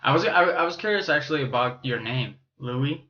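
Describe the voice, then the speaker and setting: Man, bright punchy voice, medium pitch, casual slangy podcast interviewer, curious, slightly dull lo-fi recording. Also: expressive, fairly quick, a little hiss or hum. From born in Romania, raised in the US from age eight. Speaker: podcast host interviewing a guest.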